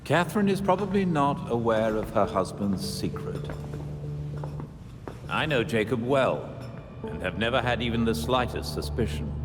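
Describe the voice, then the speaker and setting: A man's voice: medium pitch, expressive, moderate pace, lightly acted. A man playing a loyal, formal older adviser who speaks precisely in a video game. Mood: measured. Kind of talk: video game cutscene acting. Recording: reverberant hall, music underneath.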